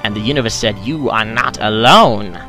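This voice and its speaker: A man reading aloud in a slow, solemn, dramatic style as narrator, with background music behind him. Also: fairly low pitch, sharp tone. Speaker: video host of a gaming channel. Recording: music under the voice.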